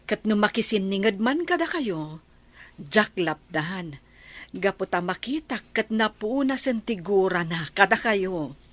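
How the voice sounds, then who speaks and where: A woman's voice: mid-range voice, lively intonation, measured pace, no echo. A woman playing a forgiving, grateful woman in an Ilocano radio drama, acting earnestly.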